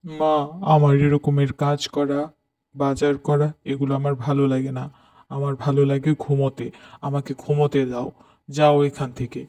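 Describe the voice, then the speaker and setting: A man's voice: medium pitch, monotone, normal speed, dark tone, close to an everyday voice. A man playing a lazy, sleep-loving village husband in a cartoon, grumbling and dismissive. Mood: lazy, annoyed. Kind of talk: cartoon voice acting.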